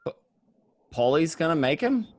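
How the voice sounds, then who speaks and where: A man's voice: a little high, big pitch swings, normal speed. A man playing an anxious, insistent mobster in an amateur read of a film script, urgent and hesitant. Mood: worried, pressing. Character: anxious, insistent mobster Henry seeking answers.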